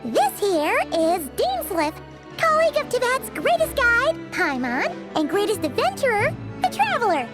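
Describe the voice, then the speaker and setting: A tiny fairy guide for a video game, extremely high and squeaky. She is childlike, bubbly and boastful, and her pitch bounces all over with excitement, with faint music behind her. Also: a little slow, heavily acted. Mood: explanatory. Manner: refers to herself in third person.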